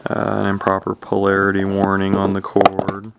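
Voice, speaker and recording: A man's voice: sits low, monotone, moderate pace, creaky, very noisy. A man in his thirties giving casual, unscripted commentary in a folksy, rambling way, thinking aloud into a handheld camera's microphone. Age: 30s. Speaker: vintage CB radio collector showing an unboxing. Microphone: handheld camera's built-in microphone.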